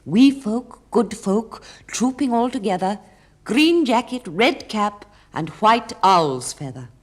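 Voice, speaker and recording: A woman reciting a children's poem in a measured storytelling style. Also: medium pitch, lively intonation. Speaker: poetry reader.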